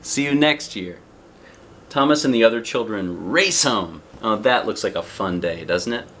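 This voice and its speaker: A man's voice: fairly low pitch, expressive, normal speed, sharp tone, slight background noise. A man reading a children's picture book aloud expressively, with chatty asides and questions to the young listener. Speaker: storybook reader.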